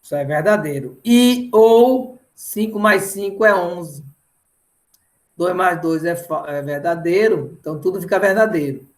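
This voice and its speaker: A man's voice: sits high, a little slow. A middle-aged Brazilian man lecturing didactically in an online lesson, often checking in with short tag questions. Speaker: mathematics teacher.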